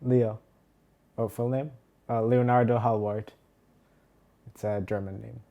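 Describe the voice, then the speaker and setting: A young man from Italy answering interview questions, pausing often with ums. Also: medium pitch, flat delivery, vocal fry, muffled recording. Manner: hesitant, many ums and pauses. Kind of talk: documentary interview.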